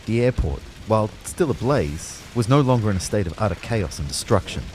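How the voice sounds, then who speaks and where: Audio drama lead: male actor as bewildered dead man telling his own story, lowish pitch, brooding and measured, over rain-like background noise. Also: expressive, a little mellow, lightly acted. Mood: somber. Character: Kyle, bewildered young male ghost narrating his afterlife. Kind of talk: first-person scripted audio drama narration with dialogue.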